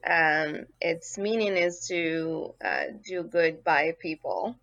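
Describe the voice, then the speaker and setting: A woman from Morocco, a congressional candidate, answering interview questions in an earnest, measured way with frequent um and uh. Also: low voice, lively intonation, a bit bright, no room sound. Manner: earnest, measured, many fillers. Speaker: political candidate, guest.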